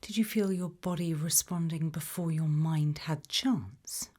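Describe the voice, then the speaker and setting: British female ASMR artist, low, dark, breathy whisper, gentle interviewer delivery in a roleplay. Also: measured pace. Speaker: ASMR roleplay creator playing an investigator.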